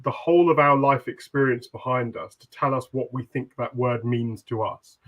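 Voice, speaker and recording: This is a man at a mid-range pitch, talking his way through ideas on a webinar panel. He speaks in long, winding sentences full of "uh"s and restarts. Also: fairly even intonation, moderate pace, fairly bright tone. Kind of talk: reflective webinar discussion.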